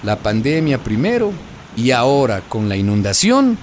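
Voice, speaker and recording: An actor playing a folksy, dramatic storyteller narrating a radio drama. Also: male voice, medium pitch, wildly swooping pitch, normal speed, slight background noise. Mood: grave. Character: folksy male storyteller recounting a neighbourhood's disaster. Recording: music playing behind.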